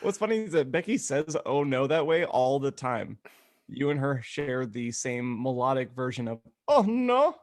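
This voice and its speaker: A man's voice: medium pitch, sing-song, normal speed, dry recording, clean studio sound. A man hosting a podcast in a casual, playful, joking style. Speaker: podcast host. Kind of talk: casual comedic chat.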